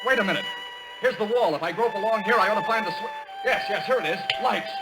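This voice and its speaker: A man's voice: sits high, fast talker, over loud music. A man playing an earnest, worried young man in an old radio thriller. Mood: groping, anxious. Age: young adult. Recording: noisy old radio drama recording.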